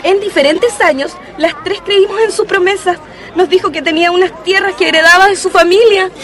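A woman's voice: very high-pitched, a little fast, fairly bright tone. A woman playing a young woman who schemes a prank and taunts a jilting suitor, in a radio drama. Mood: taunting. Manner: scheming, pointed. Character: young woman plotting revenge, sly and mocking. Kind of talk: community radio drama acting. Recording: radio drama with faint music.

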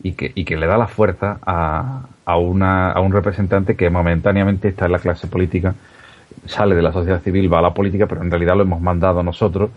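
Deep-voiced hesitant man, lo-fi. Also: steady tone, quick pace. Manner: hesitant, restarts with many fillers. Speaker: regular commentator. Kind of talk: casual political talk.

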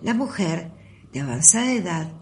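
A woman's voice: lowish voice, sing-song, normal speed, darkish tone. A woman narrating an amateur radio play from a script. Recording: audible background noise.

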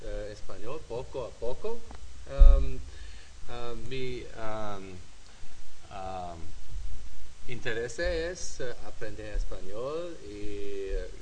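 A man with a German accent works through beginner Spanish as a panel guest, pausing often and filling the gaps with "eh". He talks very slowly, and faint room ambience sits behind him. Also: fairly low pitch, expressive, a little mellow, a little hiss or hum, low-quality recording. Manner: halting beginner Spanish, many fillers and restarts. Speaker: panel guest, football coach educator.

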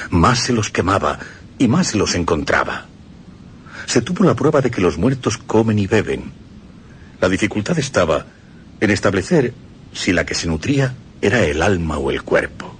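A man's voice: sits low, slight background noise. A man with a Spain Spanish accent reading literary passages aloud on radio in an intense, theatrical, insinuating way. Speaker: reader of quoted texts. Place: radio studio.

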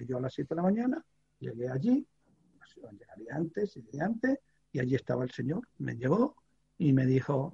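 An older man from Extremadura spins a long reporting anecdote, his voice gravelly and breathy. He keeps restarting and padding his phrases with fillers, and the recording has a slightly muffled, dull tone. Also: a little high. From Extremadura, Spain. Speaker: guest, veteran sports radio reporter. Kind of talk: storytelling in a studio chat.

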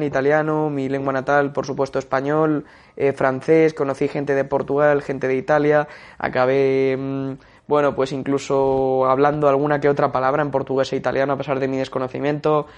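Young Spaniard talking football in a flat voice. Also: male voice, mid-range voice, clear, resonant tone, dull audio. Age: young adult. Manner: steady, with frequent "eh" fillers. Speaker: football segment contributor. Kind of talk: casual radio-style football talk.

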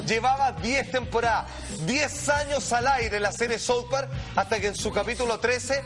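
A man with a Chilean accent presenting a talk show in an excitable, emphatic, slangy way, with theatrical build-up. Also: extremely high pitch, moderate pace, over music.

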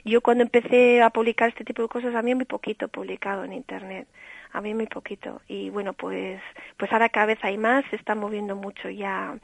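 A woman's voice: medium pitch, steady tone, brisk, creaky, dry recording, rough recording. A woman raised in Australia, director of an early stimulation center, explaining her methods in a radio interview with a patient, teacherly manner. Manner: explanatory, warm teacherly tone with diminutives.

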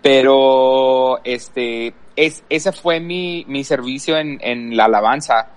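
A man's voice: medium pitch, slow, smooth. Hesitant man telling his story. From El Paso, Texas, USA. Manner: hesitant, thinking aloud. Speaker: podcast guest, worship leader. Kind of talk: casual personal storytelling.

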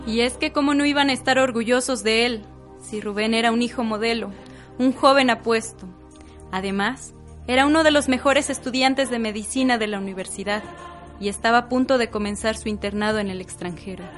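A woman's voice: a little high, a little fast, faint music behind, natural voice. A woman narrating a radio soap opera in a solemn, scripted storytelling style.

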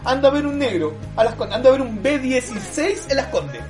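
A woman's voice: high-pitched, big pitch swings, fairly quick. A young woman with a Chilean accent chatting casually, blunt and crude, swearing freely. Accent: Chilean Spanish. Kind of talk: casual chat. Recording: music playing behind.

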